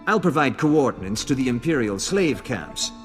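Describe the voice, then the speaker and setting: An actor playing an earnest, principled senator in a video game, speaking emphatically. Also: male voice, medium pitch, big pitch swings, moderate pace, natural voice. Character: earnest indignant male senator. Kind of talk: video game cutscene dialogue. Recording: long reverberant hall, faint music.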